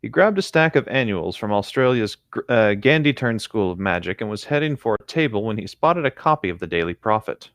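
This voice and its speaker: A man's voice: fairly low pitch, expressive, brisk, hardly any pauses, no echo, clean studio sound. A man reading a story aloud casually and a little theatrically, stumbling and correcting himself along the way. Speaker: co-reader on a fan fiction riffing show. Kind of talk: casual storybook-style narration with joking asides.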